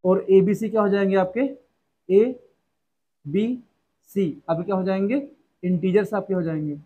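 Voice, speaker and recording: High-voiced man teaching maths to students. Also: fairly even intonation, moderate pace, phone-quality sound. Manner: repetitive rhetorical questions, addresses students as "beta". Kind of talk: classroom lesson explanation.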